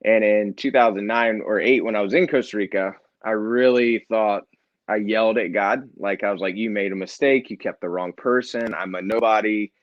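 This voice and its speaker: A middle-aged man, a nonprofit founder, sharing his story in a relaxed, earnest way with light self-deprecating humor. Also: lowish voice, moderate pace, dry recording, lo-fi sound. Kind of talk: casual interview storytelling.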